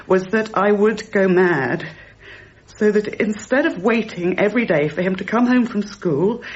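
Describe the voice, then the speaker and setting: An actress plays a grieving mother in a radio drama, rational on the surface and brittle underneath. The woman repeats and corrects herself in halting phrases, at a middling pitch. The broadcast sound is a little dull. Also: natural voice. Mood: anguished, insistent. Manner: halting, repetitive, self-correcting speech. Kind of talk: naturalistic radio drama acting.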